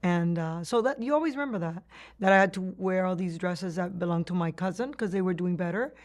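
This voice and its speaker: A woman's voice: fairly low pitch, brisk. Cuban-born woman recalling her past. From Cuba. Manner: reflective storytelling with frequent restarts and laughs. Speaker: interviewee. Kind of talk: oral history interview.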